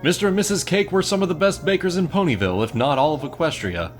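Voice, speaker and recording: A man's voice: on the high side, fast, soft background music, close to an everyday voice. A man reading scripted storybook narration for an audio drama.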